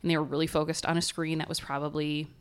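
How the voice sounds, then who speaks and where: A woman's voice: deep voice, flat delivery, fast, smooth. A woman with a nasal voice, co-hosting a podcast and telling a story in casual chat, laughing often. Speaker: podcast co-host.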